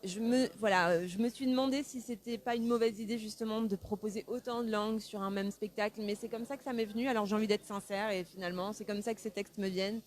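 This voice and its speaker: A woman's voice: mid-range voice, steady tone, fast, few pauses. A woman who grew up in France, a singer and songwriter, answering interview questions in a thoughtful, easygoing way with easy laughs. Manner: thoughtful, warm, laughs easily.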